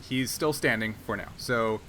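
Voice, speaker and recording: A man acting as game master, narrating a tabletop game and talking through rules casually, pausing as he works out rulings. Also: mid-range voice, pushed and bright. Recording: clear background noise.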